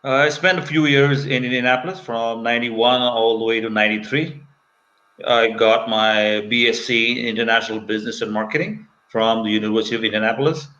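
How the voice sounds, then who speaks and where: A man's voice: medium pitch, steady tone, fairly quick, fairly bright tone. A man in his fifties from Kuwait, telling his own story conversationally as an interview guest. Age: 50s. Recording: slightly dull video call.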